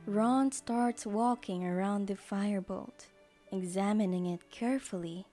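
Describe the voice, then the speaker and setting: A woman's voice: mid-range voice, a little slow, dark tone, faint music behind. A woman reading scripted narration as the narrator of an audio drama.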